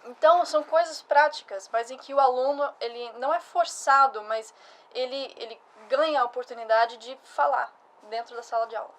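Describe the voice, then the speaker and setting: Woman in her thirties, academic director type, explains patiently, voice a bit high. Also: moderate pace, a bit bright. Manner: explanatory, uses fillers like "ah" and "né". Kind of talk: casual interview answers.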